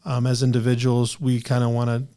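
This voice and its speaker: Mellow halting male podcast host. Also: medium pitch, monotone. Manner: rambling, halting, many restarts and "you know". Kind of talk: casual interview chat.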